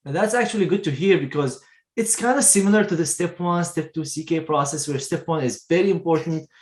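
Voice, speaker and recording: A man's voice: a little high, fairly quick, a little mellow, roomy sound. Upbeat male interview host. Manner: enthusiastic, praising the guest. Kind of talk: video interview.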